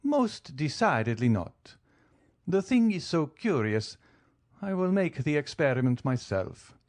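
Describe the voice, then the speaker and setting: A man's voice: on the high side, very animated, measured pace, darkish tone, pitched above the performer's own voice. A man voicing a suave, wealthy count who speaks in a polished, teasing, formal way. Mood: cool, composed. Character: suave wealthy male count, coolly ironic.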